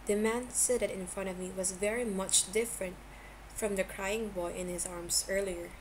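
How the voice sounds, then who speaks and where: A woman's voice: medium pitch, fairly even intonation, normal speed. A woman narrating a story from a script as an amateur audiobook reader, now and then stumbling over words. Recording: clearly noisy amateur home recording.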